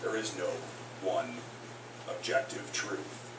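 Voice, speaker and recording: A touring songwriter, a man, chatting onstage between songs in a halting, rambling way full of fillers. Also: deep voice, fairly even intonation. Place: concert house, live in front of an audience. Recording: roomy live hall, distant, noisy.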